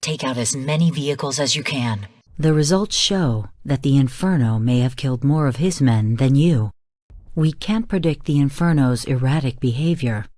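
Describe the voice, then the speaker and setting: A woman voicing a calm, calculating artificial intelligence in a video game, speaking formally and with measured, cool command. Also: very deep voice, in a large hall. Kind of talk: scripted video game voice lines.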